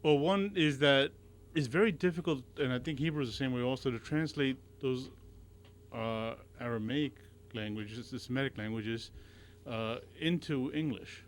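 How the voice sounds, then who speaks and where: A man as a radio show guest, talking in casual conversation, earnest and warm, stumbling over his words and restarting often. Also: mid-range voice. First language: not Arabic. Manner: halting, with many restarts and repeated words.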